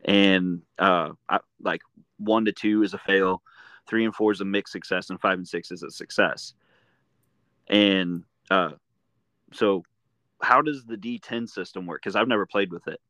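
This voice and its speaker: A man's voice: low voice, unhurried, fairly bright tone, no echo. A man hosting an interview in a casual, chatty style. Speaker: interviewer, host. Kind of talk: casual chat.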